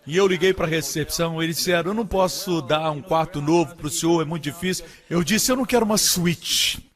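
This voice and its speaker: A man voicing a preacher's sermon in a dub, stressing key phrases by jumping higher and louder. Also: a little fast. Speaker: voice-over for a preacher. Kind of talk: dubbed sermon.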